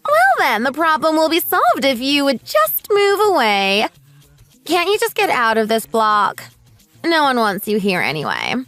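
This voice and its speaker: A woman's voice: sits high, huge pitch swings, a little slow, fairly bright tone, no room sound, faint music behind, voice pushed up, full character voice. A woman voicing a snobbish, bullying rich mother in a radio drama, haughty, sneering and exaggerated. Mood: sneering, threatening.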